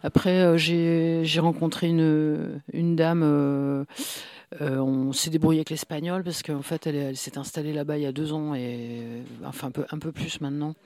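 A man's voice: a little high, little pitch movement, normal speed, a little mellow, no room sound. A man who is a comic book author, talking in a casual interview with many fillers and restarts. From France.

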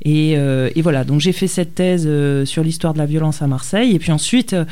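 Hesitant, mellow-voiced woman historian. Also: sits low, fairly quick. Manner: thoughtful, many hesitations with "euh". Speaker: historian, university lecturer. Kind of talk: radio interview guest.

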